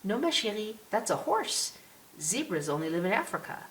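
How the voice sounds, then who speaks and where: A woman acts out a young French mother in an audiobook. She is tender, soothing and gently instructive. The room is echoey, with a light hiss underneath. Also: medium pitch, very animated, moderate pace, voice pushed up, close to an everyday voice. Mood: gently correcting. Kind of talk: audiobook character voice. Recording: roomy echo with clear hiss.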